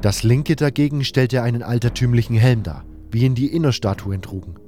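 A man's voice: medium pitch, brisk, warm tone. A man narrating a fantasy audio drama in the first person, as scripted storytelling. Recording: hall-like reverb, music underneath.

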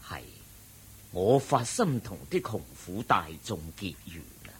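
An actor playing a humble, gentle ascetic monk with modest, deliberate delivery, in Hong Kong Cantonese radio drama. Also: male voice, lowish voice, big pitch swings, breathy edge, exaggerated voice. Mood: gentle, grateful.

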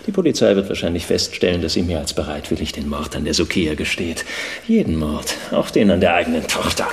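Casting: callous, smug medical villain for radio thriller, deep-voiced, echoing room with faint noise. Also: male voice, lively intonation, a little fast. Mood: smug, menacing. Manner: smug, contemptuous, cold. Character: arrogant, callous male villain, a hospital doctor. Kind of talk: crime radio play acting. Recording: roomy echo, faint noise.

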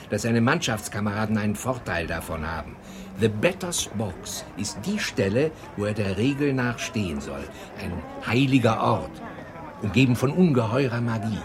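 An actor playing a teasing Texan gossip who relishes telling a scandal in a radio drama. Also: male voice, fairly low pitch, noisy background. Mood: mock patient. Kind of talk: radio drama dialogue, anecdotal storytelling.

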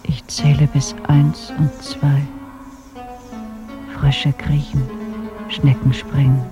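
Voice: mid-range voice, completely flat delivery, slow pace, close to an everyday voice. An actor in a radio play, playing an eerie reciter who chants a counting rhyme with great deliberation. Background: music.

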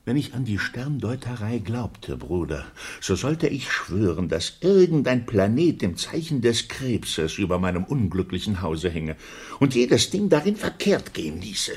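Irritable gentleman householder, husky, lowish pitch, swooping intonation, dramatic, radio play. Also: very animated, unhurried, full character voice. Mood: exasperated, gloomy. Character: exasperated, grumbling gentleman master of the house.

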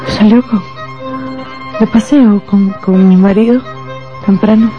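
An actress playing a guarded, anxious wife in a radio drama, hesitant and strained, in slightly dull audio. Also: female voice, mid-range voice, steady tone, slow, natural voice. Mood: shaken, confused. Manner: hesitant, repeating words under strain. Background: faint music.

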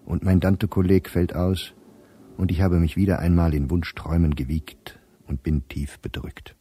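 An actor playing a weary middle-aged professor reading his private diary in a radio play, measured and reflective. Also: low-pitched, flat delivery. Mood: dejected. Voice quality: dark, husky.

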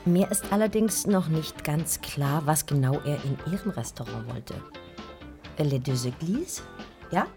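A young, candid publisher speaks politely and directly in a radio drama. Her voice is notably low for a woman, with faint music behind it. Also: animated, unhurried. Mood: direct, pensive. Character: young, candid female publisher and editor-in-chief. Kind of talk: German radio drama dialogue.

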